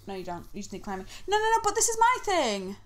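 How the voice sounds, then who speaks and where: Chatty northern English woman, higher voice. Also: wildly swooping pitch, fast, fairly bright tone. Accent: northern English, East Manchester. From northern England. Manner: chatty, swears freely, teasing. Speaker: streamer co-host. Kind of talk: casual chat while streaming a game.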